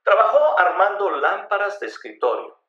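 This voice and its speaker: A man's voice: high-pitched, sing-song, normal speed, muffled recording. A man narrating a scripted radio drama in a theatrical, emphatic storytelling manner. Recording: roomy echo on the voice.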